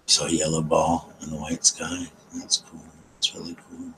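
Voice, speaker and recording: A man hosting a late-night live ASMR chat, talking low and slowly with a deep voice and many ums as he greets viewers by name. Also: huge pitch swings, creaky, fairly bright tone, slight background noise, poor audio. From South Carolina.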